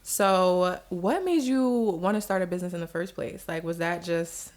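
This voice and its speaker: Excited young woman podcast host. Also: lowish voice, normal speed. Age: 20s. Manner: excited, chatty, drops into slang. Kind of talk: casual podcast chat. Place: studio.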